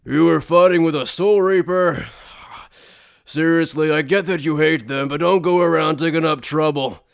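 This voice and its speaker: A man playing a brash, big-hearted gang boss in an anime fan dub, loud, informal and blustering. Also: a little high, moderate pace, creaky. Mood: brash, scolding.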